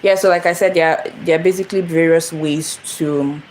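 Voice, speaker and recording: A woman's voice: low voice, steady tone, fairly quick, a little mellow, a little hiss or hum. A young woman from Nigeria hosting a casual phone livestream, rambling and repeating herself as she greets viewers. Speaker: channel host. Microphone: phone camera.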